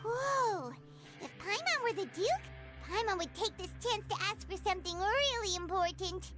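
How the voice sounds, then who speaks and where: Casting: a very high, bouncy fairy sidekick who talks about herself in third person, over loud game music. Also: female voice, lively intonation, normal speed. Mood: excited. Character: tiny chirpy floating fairy companion, excitable. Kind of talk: game voice acting.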